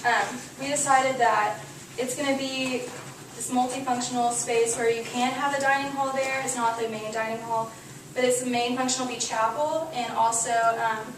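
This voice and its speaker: A young woman from Texas walks a room through her design in a loose, conversational way. Her pitch sits a little high and barely moves. She is heard from a distance in a very echoey room with steady background noise. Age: young adult. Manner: loose and conversational, many fillers. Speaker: student architect presenting. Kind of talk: class presentation. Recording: echoey room from far off, noisy.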